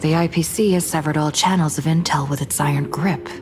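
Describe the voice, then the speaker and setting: A woman voicing a cool young female sleuth in a video game. Her voice sits a little low and she is dry and matter-of-fact. Music runs behind her. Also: fairly even intonation, a little fast, natural voice. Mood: dry, pensive.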